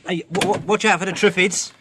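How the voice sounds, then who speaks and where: An actor as a halting, higher-voiced British mate. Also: male voice, steady tone, background noise, natural voice. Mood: anxious, matey. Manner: hesitant, halting. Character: plain-spoken, friendly working-class man, loyal companion. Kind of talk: radio drama acting.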